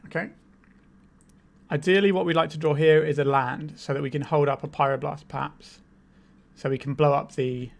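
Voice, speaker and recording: A man with a slightly higher than usual voice talks through a card game in a relaxed, explanatory way, thinking aloud as he goes. He has a cold and sounds a little tired. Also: gravelly. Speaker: channel host, card game player. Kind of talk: casual gameplay commentary.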